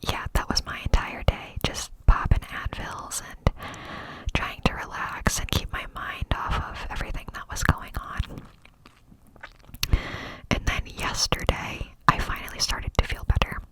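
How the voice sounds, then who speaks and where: A woman's voice: unhurried, low-quality recording. A young woman telling a personal story in a chatty whisper, with many fillers. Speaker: vlogger.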